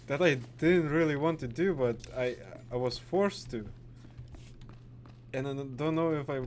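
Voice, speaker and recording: A man giving casual gameplay reactions as a video host, speaking in a halting, informal, sweary way. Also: medium pitch, darkish tone, a little hiss or hum.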